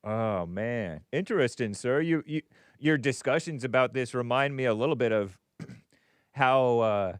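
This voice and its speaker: A man's voice: medium pitch, unhurried, clear, resonant tone. An American man hosting a call-in show, giving clipped replies and addressing the caller formally as sir. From United States. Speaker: talk show host.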